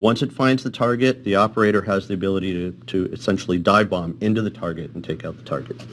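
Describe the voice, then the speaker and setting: Male technical explainer, very deep voice, halting repeats. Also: fairly even intonation, a little hiss or hum.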